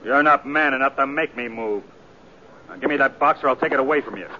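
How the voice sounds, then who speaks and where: An actor playing a brash, possessive young cowhand who bullies and taunts, in an old radio western. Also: male voice, mid-range voice, fairly quick, bright, forceful tone. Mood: belligerent. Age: 20s. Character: cocky, bullying husky young cowhand, possessive and loud. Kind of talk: 1940s-50s radio western acting. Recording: dull, noisy radio recording, crowd behind.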